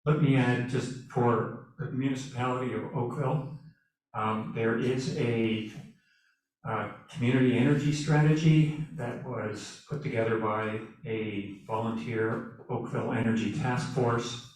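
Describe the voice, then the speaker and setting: A man moderating a public meeting, speaking in a measured way with pauses. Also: mid-range voice, fairly even intonation, darkish tone. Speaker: event moderator. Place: meeting room with audience. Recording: echoey room, from far off.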